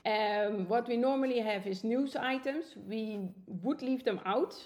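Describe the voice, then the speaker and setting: A woman's voice: fairly high pitch, a little slow, darkish tone. A Dutch-native woman co-hosting a podcast in a chatty, upbeat way. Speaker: podcast co-host. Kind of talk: casual podcast conversation.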